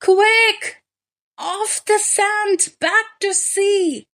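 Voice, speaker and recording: A woman voicing a tiny, determined female sea snail in a storybook, with high squeaky cries. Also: steady tone, drawn-out words, a bit bright, heavily acted. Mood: urgent.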